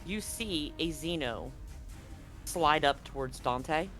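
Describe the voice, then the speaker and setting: A man running a tabletop game as game master, describing scenes slowly and deliberately. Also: a little high, lively intonation, a bit bright, soft background music. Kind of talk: narrating a tabletop horror game.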